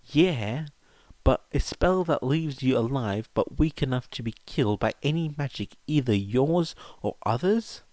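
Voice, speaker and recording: Storybook role: incredulous teen wizard lad, read by a man, blunt, teasing, slightly raised pitch, dry recording. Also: lively intonation, a little slow, darkish tone, no echo, close to an everyday voice. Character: brash, incredulous teenage wizard boy.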